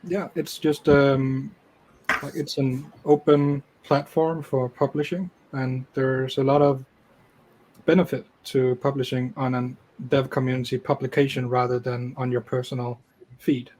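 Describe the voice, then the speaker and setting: A man guest on a developer podcast, explaining things in an even, matter-of-fact way. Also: medium pitch, unhurried, rough recording. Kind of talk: casual interview talk.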